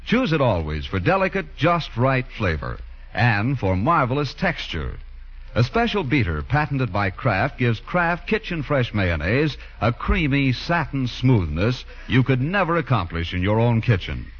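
A man's voice: mid-range voice, sing-song, a little slow, breathy edge. An American man reading scripted announcements in the polished style of a 1940s radio announcer. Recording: muffled 1940s radio recording. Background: music.

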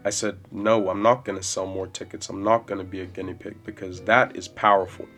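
A man in his twenties hosting a solo podcast, rambling casually and swearing freely in a loud voice with bass. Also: level tone, fairly quick. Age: 20s. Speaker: podcast host. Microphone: podcast mic. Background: soft jazz music.

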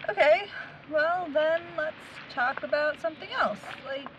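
A woman's voice: high-pitched, a little slow, dull audio. An actress playing a frank, curious young woman in an audio drama, with a direct, conversational delivery. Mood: curious, gentle. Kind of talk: naturalistic audio drama acting. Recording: noisy outdoor ambience.

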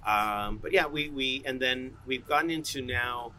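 A man's voice: mid-range voice, moderate pace, pushed and bright, soft background music, rough recording. A man talking casually as an interview guest, informal and rambling, full of slang. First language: bilingual English and fluent Spanish. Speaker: coffee roaster, interview guest. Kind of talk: casual conversational interview answers.

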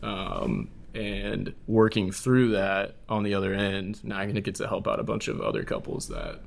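A man's voice: lowish voice, very animated, moderate pace, creaky, slight background noise. A man speaking as a podcast guest, giving earnest, loosely phrased advice about faith and hard times.

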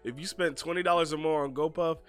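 A man's voice: fairly high pitch, steady tone, quick pace, fairly bright tone. A young man hosting a casual podcast chat with a stuffy, sniffly nose, rambling laid-back and circling back to rephrase himself. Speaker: podcast host.

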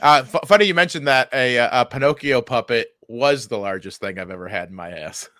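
A man's voice: mid-range voice, brisk, pushed and bright, dry recording, clean studio sound. A man co-hosting a comedy podcast, riffing casually with jokes and interjections. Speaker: podcast co-host.

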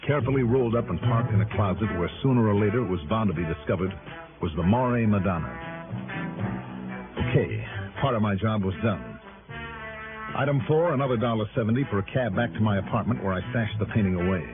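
A wry, self-assured American investigator acted by a man in an early-1960s radio drama. His lowish voice swings through hard-boiled lines with plenty of movement. The sound is thin and tinny, like an old broadcast with music. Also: lively intonation, quick pace, natural voice. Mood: wry narrating. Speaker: lead actor and narrator of a radio detective serial.

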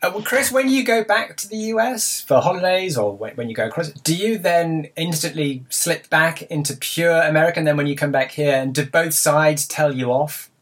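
A man's voice: on the high side, expressive, moderate pace, hardly any pauses, a bit bright, breathy edge. A man hosting a cycling podcast roundtable in a teasing, chatty way, his voice thin and tinny from a badly set up call input. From England. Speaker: podcast host. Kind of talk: casual roundtable chat. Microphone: computer call input. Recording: tinny thin sound from a misconfigured call setup.

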